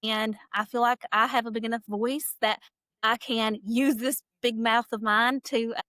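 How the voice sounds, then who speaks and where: An energetic woman, her voice sitting a little high. She talks fast and freely, as a candidate would in an interview. The sound is close and dry. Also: dry recording. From southeastern Kentucky. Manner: energetic, talkative, laughs easily. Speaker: political candidate. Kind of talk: podcast interview.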